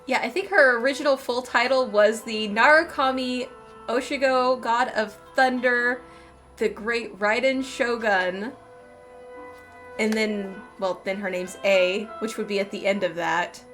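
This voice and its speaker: A woman's voice: a little high, steady tone, measured pace, fairly bright tone. A woman giving casual, chatty video game playthrough commentary, thinking aloud over game music.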